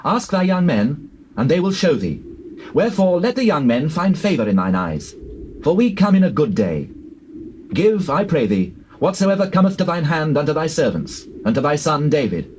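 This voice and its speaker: An actor playing a proud warrior leader in a dramatized scripture recording, declaiming solemnly in archaic English. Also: male voice, a little high, sing-song, moderate pace, a little mellow, low-quality recording. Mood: courteous, commanding. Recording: faint noise, old cassette tape.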